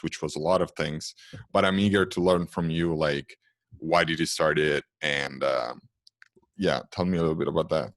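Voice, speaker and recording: A man from Italy, hosting a podcast interview in a friendly, self-deprecating way. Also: low-pitched. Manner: friendly and self-deprecating, asks open questions. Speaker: podcast host. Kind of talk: casual interview chat.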